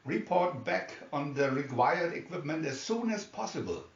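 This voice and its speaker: A man's voice: a little high, sing-song, creaky. Man as a commanding father, roomy muffled sound. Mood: businesslike. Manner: brisk, instructing. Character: commanding father leading a rescue team. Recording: muffled, echoey room, distant mic.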